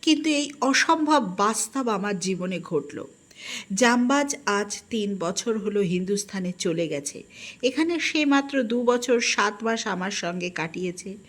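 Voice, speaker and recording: A woman reads a first-person literary story in an expressive, melancholic and dramatic manner. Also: medium pitch, measured pace. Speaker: audiobook narrator.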